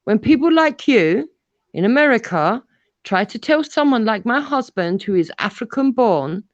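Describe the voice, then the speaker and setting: A woman's voice: a little high, sing-song, normal speed, no room sound, lo-fi sound. A woman in a heated voice chat, insistent and lecturing. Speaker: chat participant. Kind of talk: heated casual group chat.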